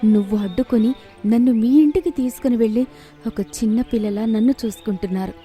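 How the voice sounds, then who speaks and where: A woman's voice: fairly high pitch, fairly quick, warm tone, faint music behind, natural voice. A woman voicing a loyal, grateful cow thinking aloud earnestly in a storybook tale. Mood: grateful, devoted.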